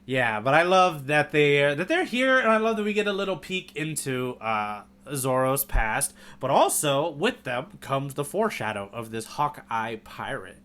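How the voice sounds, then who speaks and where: A man's voice: a little high, very animated, normal speed, hardly any pauses, fairly bright tone. A man co-hosting a readalong podcast, talking casually and prompting with questions. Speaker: podcast co-host guiding a manga readalong. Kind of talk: casual chat.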